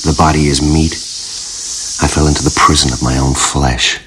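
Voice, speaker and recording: An actor playing a jaded, washed-up hacker, narrating a noir radio drama in a terse, world-weary, brooding manner. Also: male voice, very deep voice, steady tone, normal speed, very noisy. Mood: bleak. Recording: music bed under voice.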